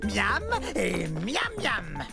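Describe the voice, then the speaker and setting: Cartoon role: proud, playful dinosaur dad, theatrical show-off, very animated, unhurried, music underneath. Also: male voice, high-pitched, vocal fry, heavily acted. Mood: proud, excited. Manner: theatrical, drawn-out words, sudden swoops. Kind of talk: cartoon educational monologue to viewers.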